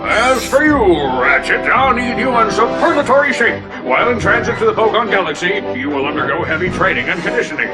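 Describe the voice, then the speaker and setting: High-voiced, pompous, excitable company boss. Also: male voice, fairly quick, few pauses. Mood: pompous briefing. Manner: grandiloquent, bombastic, full of malapropisms. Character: pompous, excitable male company founder mangling big words. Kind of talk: animated video game cutscene acting. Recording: loud music behind the voice.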